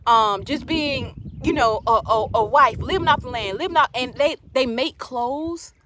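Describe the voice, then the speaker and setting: A woman's voice: sits high, unhurried, noisy background. A woman in her late thirties talking casually to her viewers, sharing opinions emphatically and repeating phrases for emphasis. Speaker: livestream host.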